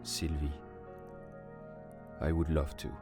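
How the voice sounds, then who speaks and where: A man playing a silver-tongued, gentlemanly con artist of living fire who sprinkles in French endearments, in a romantic audio drama. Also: very deep voice, monotone, slow, music in the background, full character voice. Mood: soft, seductive.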